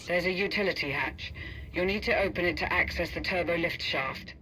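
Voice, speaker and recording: An actor voicing a businesslike operative in a video game, giving brisk instructions as a remote guide. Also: male voice, high-pitched, sharp tone, a little hiss or hum, low-quality recording. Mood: focused, instructive. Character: businesslike male operative guiding an agent remotely.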